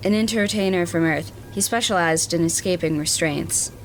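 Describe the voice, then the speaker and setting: An actress plays a starship doctor with a fairly low female voice. She explains in a clinical, matter-of-fact manner, as in a science fiction audio drama, over faint ambient noise. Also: normal speed, natural voice, poor audio. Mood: grave, explanatory.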